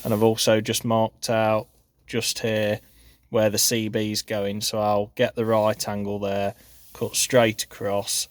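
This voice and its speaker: A man talking informally and matter-of-factly through a hands-on job, in a casual how-to vlog recorded on a handheld phone. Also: lowish voice. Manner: informal, matter-of-fact explaining. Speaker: farm vlogger showing a DIY installation.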